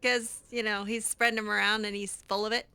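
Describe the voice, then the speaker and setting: A woman's voice: medium pitch, flat delivery, fairly quick, creaky, pushed and bright, smooth. A woman giving casual, in-character roleplay commentary on a video game, sarcastic and exasperated. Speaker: gamer playing in character.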